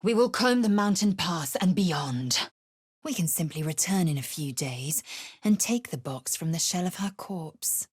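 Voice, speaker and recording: Fierce alien warrior woman, raspy, unhurried, imperious, disdainful, clipped formal phrasing, video game character. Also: lowish voice, expressive, no echo, studio quality. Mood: proud, scornful. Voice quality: husky, raspy.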